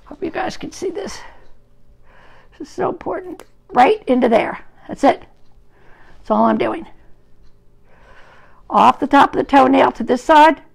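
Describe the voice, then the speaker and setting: Gravelly-voiced woman groomer, chatty. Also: high voice, unhurried, pauses often. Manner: chatty, talks to viewers while working on the dog. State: hoarse from an illness. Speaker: dog groomer and breeder. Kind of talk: live-streamed grooming demonstration. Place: grooming salon, indoors. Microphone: phone camera propped at the grooming table.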